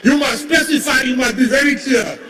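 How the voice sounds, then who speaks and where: Brief: man, minister at the podium, very high voice, echoey chamber, distant mic. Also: moderate pace, pushed and bright. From South Africa. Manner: emphatic, mocking, rallying. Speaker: cabinet minister. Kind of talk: parliamentary speech. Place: large parliament chamber. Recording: echoey hall from far off.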